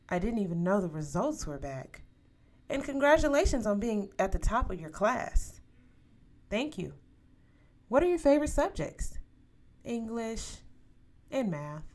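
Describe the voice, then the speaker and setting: Woman as polite teen girl, modest, hesitant replies, storybook dialogue. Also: medium pitch. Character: modest, hesitant teenage schoolgirl, polite and surprised.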